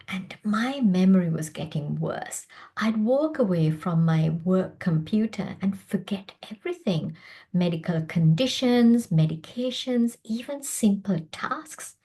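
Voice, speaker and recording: A woman in her fifties from Sri Lanka giving a prepared personal presentation as a doctor, in a measured, earnest way. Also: mid-range voice, mellow. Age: 50s.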